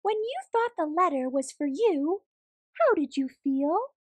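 A woman voicing a small female storybook mouse, tender, sincere and soft-spoken. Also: extremely high pitch, lively intonation, unhurried, no echo, voice pushed up. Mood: kind.

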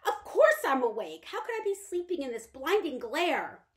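A woman voicing a fragile, erratic, imperious wealthy mother who is bedridden. She speaks in a languid, drawn-out way that flares into shrill outbursts. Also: big pitch swings. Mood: irritable.

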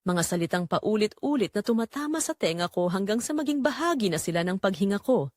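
A woman's voice: medium pitch, lively intonation, a little fast, a little mellow, dry recording, studio quality, lightly acted. A woman playing a remorseful, heartbroken wife who narrates her own story in an emotive, confessional way, as in an audio drama. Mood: remorseful, sorrowful. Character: guilt-ridden wife Rosa, remorseful and heartbroken.

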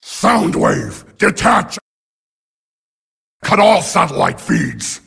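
An actor playing a ruthless, imperious giant robot warlord in a video game, with a gravelly snarl and a menacing, grandiose manner. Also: male voice, a little high, fairly bright tone, exaggerated voice. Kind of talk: video game villain acting.

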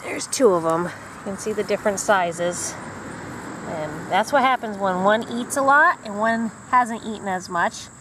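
A woman's voice: medium pitch, unhurried. Woman vlogging outdoors over faint noise. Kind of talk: casual garden vlog narration. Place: outdoors in a garden. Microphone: handheld camera at arm's length. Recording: outdoor camera audio with background noise.

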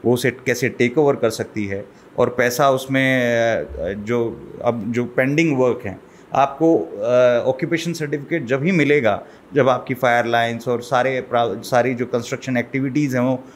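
A man's voice: medium pitch, a little hiss or hum. A middle-aged man as a guest expert, explaining step by step in a studio interview at a desk mic. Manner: measured, explaining, code-mixes English terms. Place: small studio set. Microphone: desk mic on boom arm.

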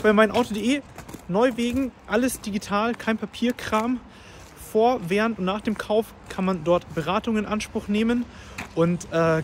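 A man's voice: high voice, slight background noise. A man vlogging casually on a road trip, chatty and enthusiastic, with plenty of fillers, talking from his car and at charging stations.

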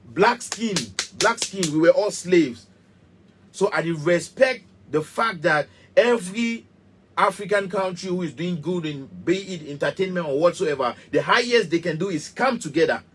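Cameroonian guy in his 30s going off about stuff, raspy voice, repeats himself a lot, phone cam in a little studio. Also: sits high, lively intonation, normal speed. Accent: Cameroonian Pidgin English. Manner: passionate, emphatic, repetitive, gesturing. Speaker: musician. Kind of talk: heated direct-to-camera rant. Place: small indoor music studio.

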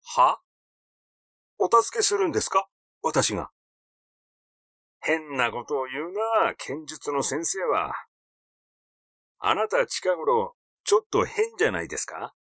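A man's voice: on the high side, very animated, takes it slowly, pauses often, sharp tone, dry recording, studio quality, exaggerated voice. A man voicing a glib, cheeky, eccentric male character in an audiobook, brash and colloquial in a teasing way. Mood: mocking.

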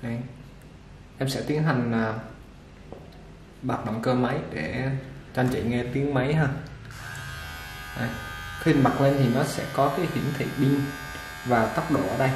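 Guy casually unboxing clippers, middle voice, lots of uh's, roomy echo, motor buzzing loud behind. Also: mid-range voice, unhurried, pauses often. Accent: Vietnamese. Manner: relaxed, talks while handling the product, many fillers. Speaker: product reviewer for a barber supply shop. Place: indoor shop table with clipper boxes. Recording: echoey room with machine noise. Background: clipper motor buzz and clicks.

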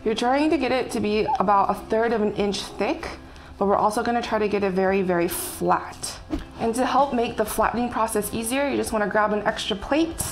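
A young woman chef casually explaining a recipe step by step while she cooks in a bright home kitchen. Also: medium pitch, a little fast. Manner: friendly step-by-step instructions while working. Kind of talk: casual cooking demonstration. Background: background music and sizzling food.

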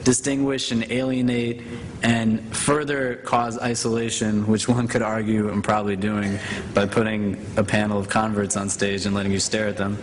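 A man's voice: on the low side, little pitch movement, normal speed. A man in his thirties moderating a panel on stage, speaking casually with lots of "you know" and "right" and a self-deprecating tone. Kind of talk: conference moderation. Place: large auditorium stage at a conference. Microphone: podium microphone. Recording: hall reverb with faint noise.

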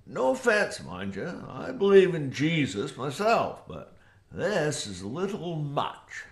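A folksy backwoods man in an audio drama. He rambles slowly and plainly, with wary pauses and a very animated, swooping pitch. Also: exaggerated voice. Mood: wry, curious.

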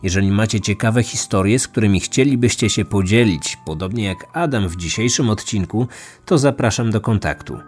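A man narrates a true crime podcast from a script. His voice is low, and quiet music runs behind the documentary-style telling. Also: moderate pace.

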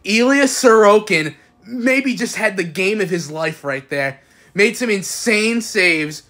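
A young man giving casual, excitable postgame recaps as a sports fan, recorded on a phone at home. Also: sits high, slow pace. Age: young adult. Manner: excitable, exclamatory fan cheering. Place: small indoor room at home. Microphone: phone at arm's length.

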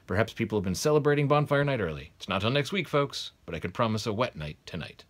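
A man voicing a jovial male TV weatherman from a storybook, loud and folksy. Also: fairly low pitch, expressive, fast, voice pushed up.

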